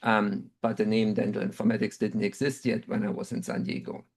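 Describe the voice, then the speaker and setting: A middle-aged man with a German accent and German as his first language, telling a personal story in a measured, reflective way with frequent pauses and ums. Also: lowish voice, level tone, creaky, slightly rough. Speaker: webinar speaker, dental researcher.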